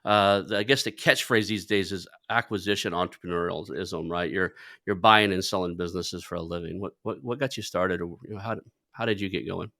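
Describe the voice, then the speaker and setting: Male podcast host, deep voice, dry close recording, casual and slightly halting as he rephrases questions. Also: creaky, pushed and bright, no room sound. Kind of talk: casual interview chat.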